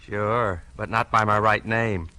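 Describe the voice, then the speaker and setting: A man's voice: fairly low pitch, fairly even intonation, unhurried, bright, forceful tone, no room sound. An actor playing an eager, folksy American small-town policeman in a 1940s radio mystery, slangy and full of exclamations. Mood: excited. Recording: vintage 1940s radio recording.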